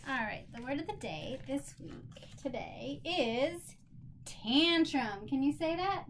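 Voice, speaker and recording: A woman with a fairly high voice speaks as a teacher to young children. She explains things simply and encouragingly, in the style of a lesson and read-aloud. There is faint noise behind her. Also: expressive, slow pace. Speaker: special education teacher.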